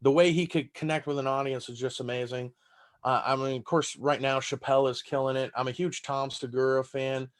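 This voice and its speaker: A man's voice: mid-range voice, steady tone, fairly quick. A man in his thirties from Texas, whose first language is German, talking in a rambling, casual way as a comedian guest on a podcast, swearing freely.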